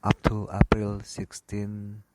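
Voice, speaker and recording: A man with a fairly low voice reads a lecture from his slides. He goes slowly and haltingly, a few words at a time, sometimes stumbling. There is clear background noise and clicking on the recording. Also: completely flat delivery. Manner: halting, word-by-word reading with stumbles. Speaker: aviation lecturer. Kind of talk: read-aloud slide lecture.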